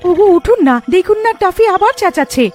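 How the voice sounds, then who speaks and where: A woman's voice: extremely high pitch, lively intonation, fast talker, a little mellow, pitched above the performer's own voice. A woman voicing an anxious young village wife in a cartoon, pleading and fretful. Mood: worried. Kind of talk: cartoon voice acting.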